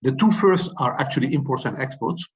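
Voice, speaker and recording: Man, webinar panelist, measured policy talk, hesitant, frequent fillers. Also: medium pitch, expressive, a little hiss or hum. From Morocco. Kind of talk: policy talk, numbered key messages.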